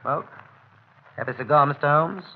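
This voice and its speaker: A man's voice: on the high side, normal speed, close to an everyday voice. A man playing a cunning, menacing swindler in a 1930s radio drama, sneering and commanding. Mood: guarded politeness. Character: cunning, menacing male swindler, gang boss. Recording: muffled, noisy 1930s radio recording.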